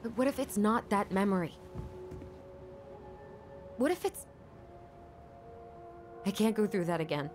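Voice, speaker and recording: Young American female actor, reluctant, emotionally guarded game heroine, naturalistic read over clearly audible music. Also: medium pitch, animated, a little slow, long pauses, a little mellow, natural voice. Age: young adult. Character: guarded, reluctant young woman worn down by grief. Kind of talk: video game cutscene acting. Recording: game dialogue with music behind.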